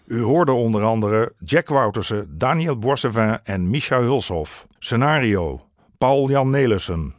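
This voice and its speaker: A man's voice: mid-range voice, expressive, unhurried, dry recording. A man reading closing credits as a broadcast announcer in a scripted style.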